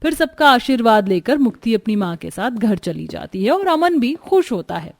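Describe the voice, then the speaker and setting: A woman's voice: on the high side, very animated, normal speed, gravelly, a little mellow, dry recording, clean studio sound. A woman giving scripted narration for a cartoon family story.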